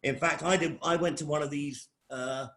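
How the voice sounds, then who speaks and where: A man's voice: a little high, steady tone, moderate pace, vocal fry, sharp tone. A middle-aged man with an English accent giving an informal welcome talk as a college lecturer, often repeating phrases and restarting his sentences. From England.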